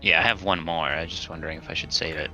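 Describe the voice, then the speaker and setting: Man, deep voice, heavy vocal fry, casual tabletop player, faint music. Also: fairly even intonation, rapid-fire, bright, forceful tone, lo-fi sound. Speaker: player in a tabletop roleplaying game. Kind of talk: casual game chat.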